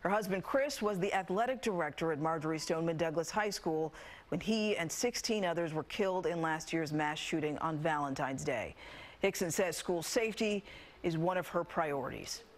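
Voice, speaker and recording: A woman's voice: deep voice, normal speed. A woman with an American accent reads scripted TV news as an anchor. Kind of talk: scripted newscast narration.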